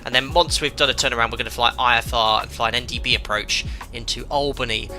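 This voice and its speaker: Croaky, congested man streaming live. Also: medium pitch, fairly even intonation, fast, pushed and bright, faint music behind. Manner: chatty, many filler ums and uhs. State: congested from a cold. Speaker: streamer and airline pilot.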